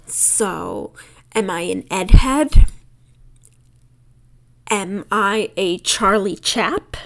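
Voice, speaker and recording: A young woman with a New York accent talks through a story game at an unhurried, easy pace. She laughs often between lines and reads the on-screen text aloud in a casual, chatty way. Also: medium pitch, gravelly. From New York City. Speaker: game creator playing through a demo. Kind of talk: casual game walkthrough commentary.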